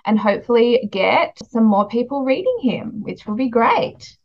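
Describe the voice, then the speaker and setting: A woman's voice: on the high side, expressive, moderate pace, highs cut off. A woman hosting a podcast interview about books in a chatty style. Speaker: podcast host. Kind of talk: podcast interview, warm book chat.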